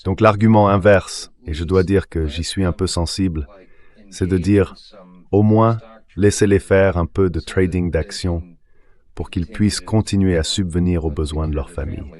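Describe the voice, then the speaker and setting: A man's AI dubbing voice as a politician, giving measured, explanatory remarks that justify a position. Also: low voice, fairly even intonation, a little mellow. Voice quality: synthetic AI dubbing voice.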